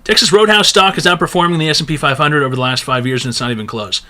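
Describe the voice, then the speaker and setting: Brash hoarse-voiced male stream host. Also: on the high side, very fast, pushed and bright. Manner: brash, crude, rambling. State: losing his voice, hoarse. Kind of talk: live-stream call-in show.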